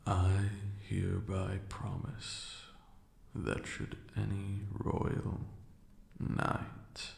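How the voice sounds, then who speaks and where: A man voicing a haughty but protective ancient vampire lord in a soft, teasing ASMR roleplay, with formal old-fashioned phrasing and a breathy murmur. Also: low voice, monotone, drawn-out words, reverberant, heavily acted. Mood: solemn, protective.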